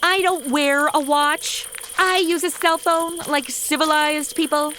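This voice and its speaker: A woman's voice: high voice, fairly even intonation, a little slow, sharp tone, slight background noise. An actress playing a sassy, exasperated modern young woman with a blunt, snarky manner in a comedy audio drama. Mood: grumbling.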